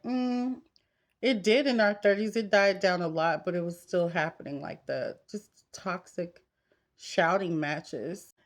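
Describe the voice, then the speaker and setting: Candid woman in her thirties, casual vlogger. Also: mid-range voice. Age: 30s. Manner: candid, conversational, talking straight to women viewers. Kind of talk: casual confessional chat while getting ready.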